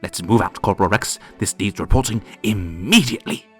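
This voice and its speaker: Actor as a raspy, forceful tiny police sergeant. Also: low-pitched, brisk, soft background music. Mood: brisk. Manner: military, urgent. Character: tiny gruff mounted police sergeant, brisk and dutiful. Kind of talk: amateur comic dub voice acting.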